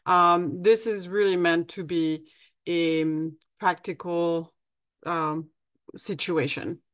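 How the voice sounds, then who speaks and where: A woman with a French accent gives a practical talk over a video call. Her voice is on the low side and smooth, and the sound is close and dry. She keeps it friendly and loose, with frequent ums and restarts. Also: fairly even intonation, takes it slowly, fairly bright tone, no room sound. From France. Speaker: guest presenter.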